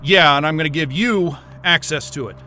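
An actor playing a stubborn, frayed, sardonic astrophysicist in a naturalistic sci-fi audio drama, talking in a profane, wry, agitated way. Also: male voice, fairly high pitch, moderate pace, bright, forceful tone, faint music behind. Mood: determined, wry.

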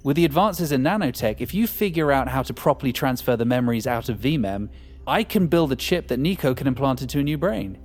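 An actor playing an excitable, scheming scientist in an audio drama, fervent, persuasive and foul-mouthed. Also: male voice, mid-range voice, fast, hardly any pauses, soft background music, lightly acted. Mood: excited, urgent. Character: excitable scheming male scientist, profane and visionary.

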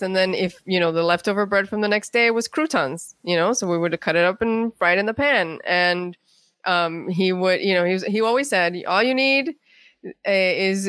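A woman being interviewed as a writer, telling personal stories in a conversational, animated way. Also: medium pitch, no echo, studio quality.

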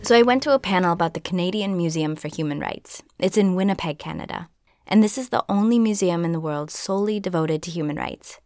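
A woman from Minnesota hosting a podcast, narrating a script in an earnest, measured way. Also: on the low side, no room sound, studio quality. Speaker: podcast host.

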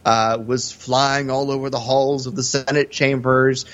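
A man's voice: medium pitch, level tone, unhurried, a bit bright. Slightly muffled male podcast co-host. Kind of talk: casual film analysis chat. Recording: slightly dull, lo-fi audio.

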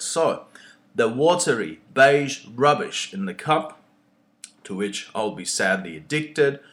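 A male poet speaking in a thoughtful, deliberate way, with pauses between short phrases. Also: mid-range voice, fairly bright tone.

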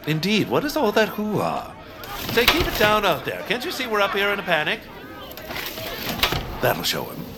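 A man's voice: sits high, huge pitch swings, normal speed, pauses often, a loud crowd, very noisy. A man playing a vain, melodramatic, whiny baron in a comic audio drama. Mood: indignant. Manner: pompous, whiny. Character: Baron von Torious, vain, melodramatic, grumbling male tycoon. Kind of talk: comic audio fiction acting.

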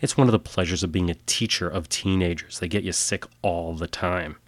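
A man with a very low voice tells strange true stories in a steady, matter-of-fact way. He sounds a little stuffy and nasal from a cold. The recording is close and dry, with no room sound. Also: a little fast, no room sound. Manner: plain matter-of-fact delivery with filler uhs in sign-off. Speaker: podcast host. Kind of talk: scripted storytelling narration.

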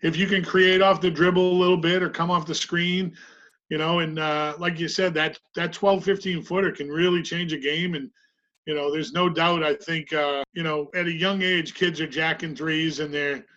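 A woman's voice: low voice, fairly even intonation, normal speed. A middle-aged woman, a basketball coach from Massachusetts, talking thoughtfully as a podcast guest with frequent fillers and easy laughs. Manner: thoughtful, lots of "you know" fillers, warm laughs. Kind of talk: casual podcast conversation. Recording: dull lo-fi sound.

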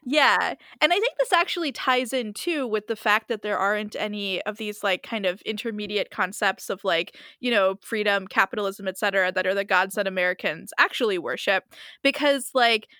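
A young woman in a casual discussion, talking in quick animated bursts packed with "like" fillers. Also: mid-range voice, clear, resonant tone, no echo, clean studio sound. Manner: fast, animated talk full of "like" fillers.

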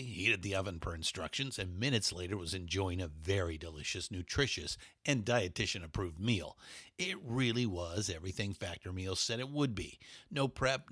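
A man's voice: lowish voice, a bit bright, husky, dry recording. A man reading a podcast ad as a chatty personal story, in a dry studio recording. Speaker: ad host. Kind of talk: host-read ad.

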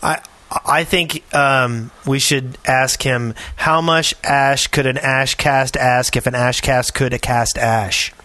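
Guy podcast co-host, bright forceful voice, close dry sound, casual. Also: medium pitch, fairly even intonation, slow pace, no room sound. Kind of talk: casual banter.

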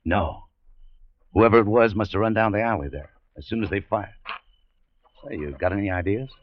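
Deep-voiced, wry American adventurer played by a man. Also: moderate pace, lightly acted. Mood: wary. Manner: wry, laconic. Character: tough, wry American male adventurer hunting buried gold. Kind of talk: 1940s radio drama acting and first-person narration. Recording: dull vintage 1940s radio recording.

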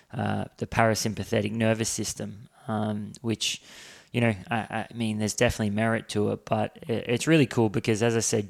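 A man hosting a podcast, his voice hoarse from a sore throat and gravelly with fry. He talks low and flat, wandering through his introduction with plenty of fillers and restarts. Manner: rambling, many fillers and restarts. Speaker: podcast host. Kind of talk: solo podcast episode introduction.

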